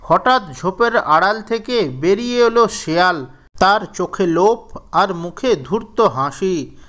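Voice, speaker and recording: A man narrating a scripted children's story in a measured, deliberate storybook manner. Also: high-pitched, animated, a bit bright.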